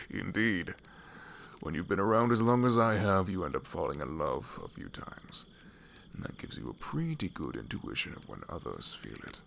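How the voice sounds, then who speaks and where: A man playing an older, wry and worldly wanderer in a fantasy ASMR audio roleplay, with a gravelly, knowing delivery. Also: deep voice, very animated, normal speed, fairly bright tone, slight background noise, full character voice. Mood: amused, teasing.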